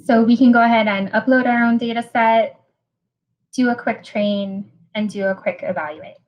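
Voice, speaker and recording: A woman from Hawaii with a Hawaiian accent, giving a technical webinar talk over a video call, often pausing and repeating words as she explains. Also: on the high side, steady tone, muffled recording. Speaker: presenter.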